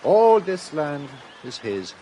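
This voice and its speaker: An actor playing a weary foreign guest who speaks hesitantly, in a radio drama. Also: male voice, a little high, sing-song, warm tone, a little hiss or hum, low-quality recording. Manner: slow, hesitant.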